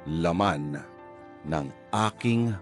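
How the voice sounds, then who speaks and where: A man's voice: low voice, animated, very slow, soft background music. A man with a Filipino accent hosting a radio drama with theatrical scripted narration. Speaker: host and narrator.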